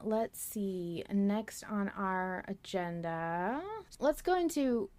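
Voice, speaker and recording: A woman's voice: mid-range voice, expressive, slow pace, darkish tone. A woman hosting a fan discussion podcast in a casual, conversational style. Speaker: podcast host.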